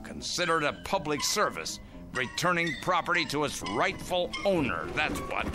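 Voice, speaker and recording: An actor playing a cranky, stubborn old man in a radio drama, emphatic and excitable, with a sentimental streak. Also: a little high, normal speed. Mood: gruff indignation. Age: older. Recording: music behind.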